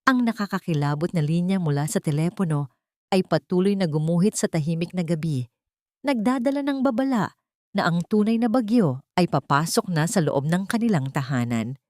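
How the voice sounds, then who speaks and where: A woman's voice: on the low side, expressive, normal speed, darkish tone, dry recording, clean studio sound. A woman reading scripted dramatic story narration for an audio drama.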